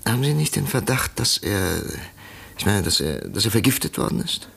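A man playing a troubled, grieving gentleman in a 1950s German radio play, halting, emotional and dramatic in his delivery. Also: on the low side, very animated, vocal fry, slightly rough, full character voice. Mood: distraught. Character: troubled, grieving wealthy gentleman, agitated and haunted.

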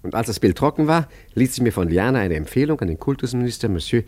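An actor playing a cheeky, suave art forger, narrating and acting in a German radio play with theatrical, ironic eloquence. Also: male voice, medium pitch, big pitch swings, quick pace, a little mellow. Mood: smooth, sly.

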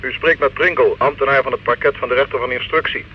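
An actor playing an officious, sceptical legal official in an old radio drama, formal and reproving in manner. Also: male voice, medium pitch, steady tone, fast, lightly acted. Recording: dull, hissy old radio recording.

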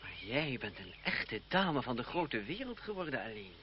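A man's voice: mid-range voice, big pitch swings, normal speed, close to an everyday voice. Male actor for a mocking, lovestruck young aristocrat in old radio drama, eloquent delivery, muffled tape with some hiss. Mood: teasing, sardonic. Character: sardonic young French nobleman, smitten cousin, secretly jealous. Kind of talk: vintage Dutch radio play acting. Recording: dull, noisy old radio-play recording.

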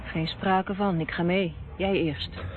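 An actress playing a cautious but plucky companion of a detective in a radio play. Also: female voice, on the low side, steady tone, takes it slowly. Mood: determined. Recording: muffled narrowband old radio play recording, noisy backing.

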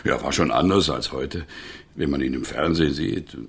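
Gravelly, garrulous older innkeeper. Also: male voice, extremely low pitch, normal speed, heavily acted. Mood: chuckling, reminiscing. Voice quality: gravelly, husky. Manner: chatty, chuckling, tags remarks with a questioning phrase. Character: garrulous, earthy older provincial innkeeper, gossipy but guarded. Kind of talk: radio play dialogue.

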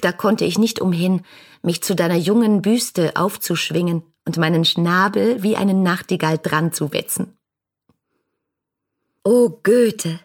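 An ardent young woman in love in a literary radio drama. Her voice is husky and sits at a middle pitch. She delivers her letters as lyrical, fervent monologues. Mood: tender longing, then rapturous. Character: ardent, lovestruck young woman writing to a poet.

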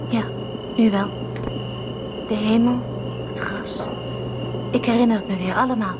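A woman's voice: a little high, fairly even intonation, unhurried, music in the background, lightly acted. A woman playing an anxious, pleading pregnant young space traveler in a radio drama. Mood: wondering. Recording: dull lo-fi tape with noise.

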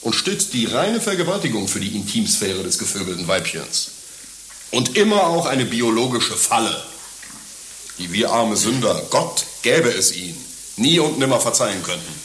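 An actor playing a morose, cynical pessimist in a German radio play, lecturing with pompous, sardonic disgust. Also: male voice, on the low side, very animated, moderate pace, a bit bright, slight background noise.

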